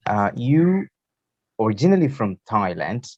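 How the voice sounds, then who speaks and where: A man's voice: lowish voice, very animated, measured pace, dry recording. A man with an Indian accent hosting a talk show over an online call, asking questions in polite, formal wording. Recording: muffled, lo-fi internet call.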